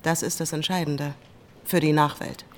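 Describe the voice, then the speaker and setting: Low-voiced, dryly ironic woman as female narrator. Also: fairly even intonation, moderate pace, slight background noise, lightly acted. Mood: wry. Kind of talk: scripted radio play narration in dialogue.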